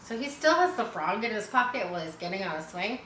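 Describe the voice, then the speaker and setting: Female storybook reader: bright, mid-pitched, expressive, informal, in a roomy-sounding space. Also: fast talker, slight background noise. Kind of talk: expressive read-aloud narration for children with casual asides.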